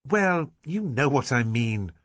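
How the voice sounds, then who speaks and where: A man's voice: medium pitch, sing-song, takes it slowly, fairly bright tone, dry recording, pitched above the performer's own voice. A man reading aloud and voicing Dinah, a spirited young woman who is defiant and dramatic, in a raised, emphatic voice. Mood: indignant.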